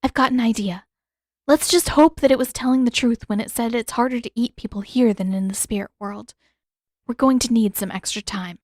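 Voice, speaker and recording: Woman acting teenage girl, audiobook heroine, insistent, animated, quick-thinking, higher pitch, dry recording. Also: no echo, natural voice. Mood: resolute.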